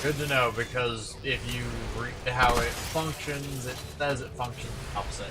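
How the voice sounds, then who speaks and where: A man casually commenting while playing a game with a friend, with a calm, lecture-like voice and offhand swearing. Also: medium pitch, moderate pace, background noise. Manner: laid-back, musing, swears casually. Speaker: gaming channel host.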